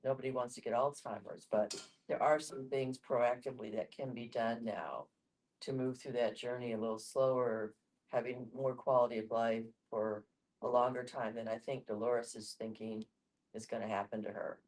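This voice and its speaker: A woman's voice: very deep voice, fairly even intonation, normal speed, a little mellow. Earnest woman, recorded from a distance. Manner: earnest, explanatory. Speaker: discussion group participant. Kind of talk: group discussion. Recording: distant microphone in group setting.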